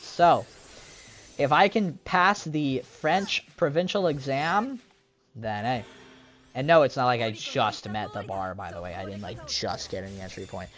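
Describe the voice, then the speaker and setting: A woman's voice: very deep voice, unhurried, soft background music. A young woman chatting casually over gameplay, rambling and self-deprecating, with lots of fillers and restarts. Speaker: gaming streamer.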